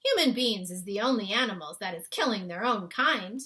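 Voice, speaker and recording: A woman's voice: medium pitch, lively intonation, moderate pace, bright, forceful tone. A woman voicing a big, friendly male giant in a children's storybook, earnest and emphatic, with muddled homespun grammar. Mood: indignant. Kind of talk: children's storybook read-aloud.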